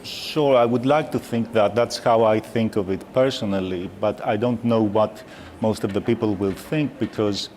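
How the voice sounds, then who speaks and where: Greek man, panelist, low voice, relaxed and candid, faint hiss. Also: moderate pace. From Greece. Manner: relaxed and candid, laughs easily. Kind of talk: panel debate answer. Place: debate hall in a parliament building.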